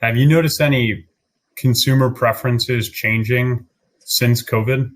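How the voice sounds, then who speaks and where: A man's voice: mid-range voice, fairly even intonation, unhurried. A man hosting a conversational podcast interview. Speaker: podcast host.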